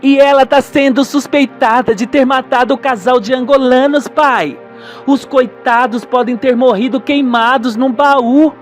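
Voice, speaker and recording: A man's voice: very high voice, steady tone, unhurried, faint music behind, pitched above the performer's own voice. A man voicing a grown-up daughter, earnest, sensible and worried, with an insistent way of talking.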